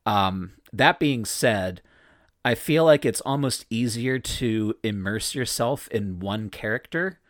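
A man's voice: lowish voice, slow pace, no room sound, clean studio sound. A man chatting casually as a player about a tabletop game, joking about himself and giving firm opinions. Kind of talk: casual podcast chat. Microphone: video call.

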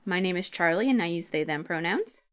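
A woman's voice: lowish voice, lively intonation, a little fast, clean tone. A woman co-hosting a podcast in casual, chatty talk, with a jokey, giggly manner. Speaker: podcast co-host.

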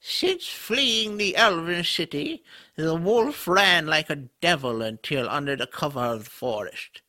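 Pompous, high, very sharp-voiced creature. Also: male voice, expressive. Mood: haughty. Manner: pompous, formal, drawn-out. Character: pompous, droll male creature guarding a magical pond. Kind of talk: audio drama acting.

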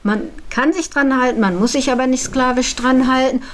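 A woman's voice: high-pitched, moderate pace, a little hiss or hum. A woman telling of her experiences as an organiser in a spontaneous interview. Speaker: event initiator being interviewed.

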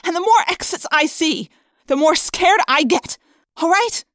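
Woman acting a high, fiery teenage girl. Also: expressive, unhurried, no echo, clean studio sound, heavily acted. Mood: scared, angry. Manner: loud, emphatic, defiant outbursts. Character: fiery, sarcastic, overwrought teenage girl fighter.

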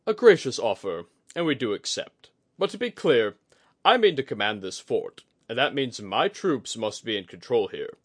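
Male narrator as belligerent fantasy war commander: harsh, boastful, commanding, animated delivery. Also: mid-range voice, normal speed, pitched above the performer's own voice. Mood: stern, commanding. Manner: loud, commanding, scornful.

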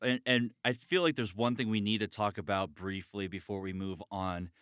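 A man's voice: fairly low pitch, fairly even intonation, normal speed, a bit bright, clean tone, no echo, studio quality. A man hosting a casual conversation in a jokey, informal way. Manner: jokey, informal, swears freely.

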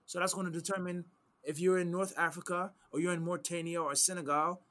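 A man's voice: sits high, flat delivery, fast, fairly bright tone. A man explaining religious principles in a conversation. He is emphatic, repeats key phrases and quotes Arabic texts. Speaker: Islamic teacher answering questions.

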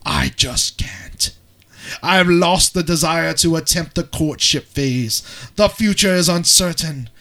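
A man voicing a grieving single mother in a theatrical, solemn English accent, with drawn-out pauses, as a dramatic reading. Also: a little high, vocal fry, a bit bright. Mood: grieving, resigned. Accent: English, put on.

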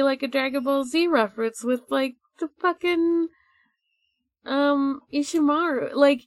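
A woman's voice: medium pitch, no echo. A woman co-hosting a podcast with casual, rambling, sweary banter and a giggly way of talking. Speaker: podcast co-host.

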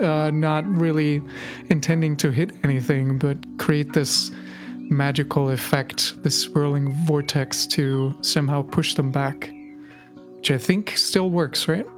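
A man playing a tabletop role-playing game, casually and haltingly narrating his character's actions. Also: fairly high pitch.

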